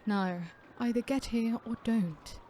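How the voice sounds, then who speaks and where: Woman playing a smug, mellow-voiced female killer. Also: on the high side, a little hiss or hum. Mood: cold, curt. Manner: theatrical, unhurried, often dropping into breathy whispers. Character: sadistic female serial killer, smug, taunting, playfully menacing. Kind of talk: ASMR horror roleplay acting.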